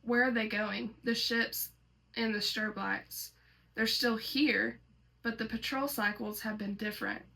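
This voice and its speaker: Female storyteller, higher-pitched with vocal fry, casual host reading posts aloud with asides. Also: fairly even intonation, unhurried, pushed and bright, rough recording. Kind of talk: casual read-aloud with commentary.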